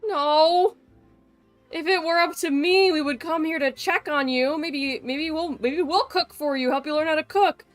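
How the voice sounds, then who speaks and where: A woman's voice: high-pitched, a little slow, faint music behind. A woman livestreaming a game with casual, blunt, sweary and dramatic commentary. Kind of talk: casual reactive gameplay commentary.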